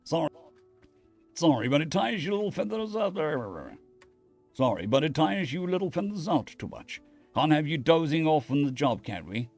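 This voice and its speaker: A man's voice: fairly high pitch, sing-song, moderate pace, a little mellow, soft background music. A man voicing an easygoing, wry male space adventurer in a story, with a casual, folksy manner. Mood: gently teasing.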